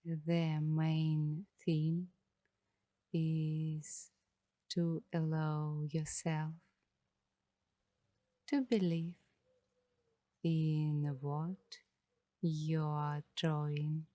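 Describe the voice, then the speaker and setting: A woman speaking in a soft, hushed, partly whispered voice as an ASMR host, giving meditative narration in short phrases with long pauses. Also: low-pitched, level tone, dry recording.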